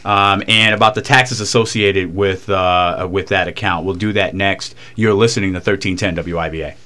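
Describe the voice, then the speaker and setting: A man co-hosting a radio money show who is losing his voice, friendly and brisk. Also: low voice, fairly even intonation, pushed and bright.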